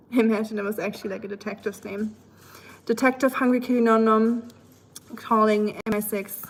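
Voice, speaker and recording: Woman, German accent, young adult, livestream host, casual playful chat, slightly high voice. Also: fairly even intonation, moderate pace, poor audio. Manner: chatty, laughs often, playful asides. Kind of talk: casual chat reading viewer messages.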